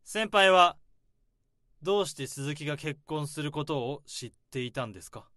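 A man playing a young office worker who calmly unravels a crime in a radio drama. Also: a little high. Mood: accusing. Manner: calm, probing, deliberate.

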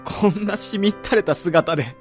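A man's voice: high voice, normal speed, soft background music, natural voice. An actor playing a proud, wounded young footballer son in a radio drama, frank and emotional in his delivery. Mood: bitter, reproachful. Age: young adult.

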